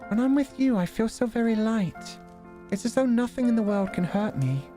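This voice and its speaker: A man voicing a gentle, doting young princess in a visual novel, speaking softly and tenderly in formal storybook phrasing. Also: high-pitched, moderate pace, soft background music, pitched above the performer's own voice, close to an everyday voice. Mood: tender, reassuring.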